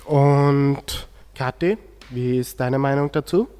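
A man's voice: medium pitch, slow pace, a little mellow, clear, resonant tone. A male teenage student with an Austrian accent, moderating a radio discussion. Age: teens. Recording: long hall-like reverb.

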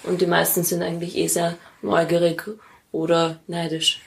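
A woman with an Austrian accent giving casual, reflective interview answers. Her voice sits a little low, stays very even in pitch and has a strong creak. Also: normal speed. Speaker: interview guest.

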